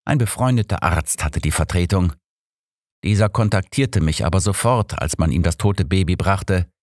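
A man's voice: low voice, moderate pace, a little mellow, dry recording, clean studio sound. Man playing a polite, earnest country doctor. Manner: polite, explanatory. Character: courteous male village doctor, earnest and sympathetic. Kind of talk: audiobook character dialogue.

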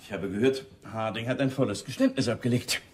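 An actor playing a genial, commanding senior police officer in a radio crime drama, jovial and patronising. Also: male voice, mid-range voice, brisk, slight background noise, natural voice. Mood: congratulatory.

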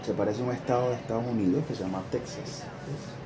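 A man in his thirties chatting casually and haltingly, as if muttering to himself, in a small room. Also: mid-range voice. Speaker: video host. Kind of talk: casual unscripted chat. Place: small indoor room with bare walls. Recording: clearly noisy background hum.

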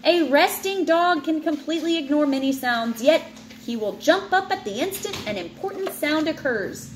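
A woman's voice: sits high, lively intonation, normal speed. A woman in her thirties reading a children's book aloud expressively, like a teacher, breaking off into playful, chatty asides. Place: indoors in an open room at home with high ceiling. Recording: roomy home recording with clear background noise.

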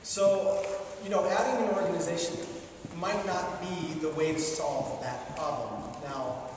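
A man in his thirties answers forum questions in a big, boomy gymnasium, picked up from across the room. His voice sits a little high, and he speaks in a measured, hesitant way, full of fillers and restarts. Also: background noise. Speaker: legislative candidate at a party forum. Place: large school gymnasium. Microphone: handheld mic, heard from far. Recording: echoey gym from far off.

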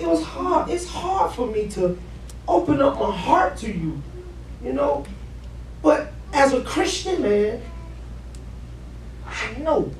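A man playing an earnest, needy husband in a stage play, opening up haltingly to his wife. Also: very high voice, darkish tone, heavily acted. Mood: hesitant, vulnerable. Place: living-room stage set indoors. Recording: roomy, distant camera mic, background noise.

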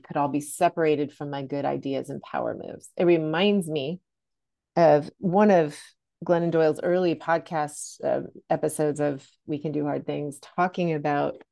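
Creaky low-voiced woman, chatty. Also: warm tone. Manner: chatty, many fillers like "like" and "you know". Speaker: podcast co-host. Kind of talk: casual conversation over video call. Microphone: video call.

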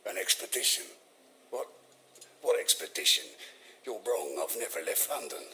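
A man's voice: sits low, sing-song, moderate pace, pushed and bright, slight background noise, exaggerated voice. An actor playing an ailing, evasive and panicky male hospital patient in a video game, protesting in an agitated way. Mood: defensive.

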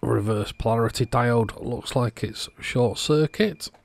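A man's voice: medium pitch, unhurried, vocal fry, no room sound. A man narrating a hands-on electronics repair video in a casual way as its host.

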